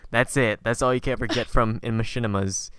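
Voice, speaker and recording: A man's voice: mid-range voice, steady tone, fast, fairly bright tone, clean tone, dry recording. A man hosting a podcast, chatting casually and telling anecdotes in an informal, slangy way. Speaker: podcast host.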